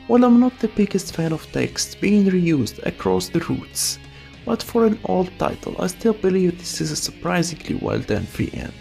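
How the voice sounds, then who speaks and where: Brief: opinionated voice-over narrator, animated delivery, some fry, soft music underneath. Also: medium pitch, normal speed. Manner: opinionated, wry asides. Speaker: video essay narrator. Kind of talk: scripted voice-over commentary.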